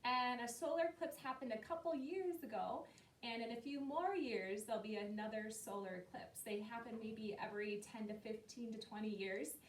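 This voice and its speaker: Woman, teacher, highish voice, patient, asks kids questions, echoey room, distant mic. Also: moderate pace. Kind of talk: classroom lesson talk to young students. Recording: roomy and distant, faint rumble.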